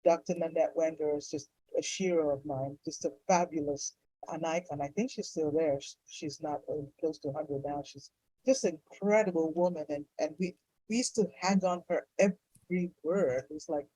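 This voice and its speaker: Female interview guest, middle-aged doctor; lowish, warm tone; effusive casual storyteller. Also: normal speed, husky, no room sound, low-quality recording. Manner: enthusiastic, effusive.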